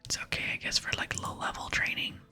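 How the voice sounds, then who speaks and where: A woman's voice: a little fast, sharp tone, faint music behind. A woman whispering breathily in a casual, tentative ASMR style as she comments on gameplay. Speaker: gaming ASMR creator.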